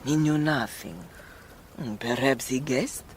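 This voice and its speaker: An actress playing a world-weary, brooding countess in a radio drama, resigned and grave in manner. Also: female voice, a bass voice, slow, a little hiss or hum. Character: world-weary Italian countess confessing to a murder.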